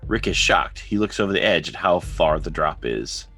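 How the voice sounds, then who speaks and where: A man's voice: sits low, lively intonation, moderate pace, bright, forceful tone, faint music behind. A man narrating scripted stage directions as the narrator of a drama reading.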